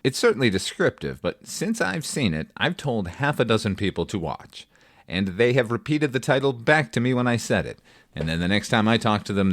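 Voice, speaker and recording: A man's voice: on the low side, no echo, studio quality. A man hosting a film review podcast, speaking in a wry, opinionated, sweary monologue. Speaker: podcast host, film critic.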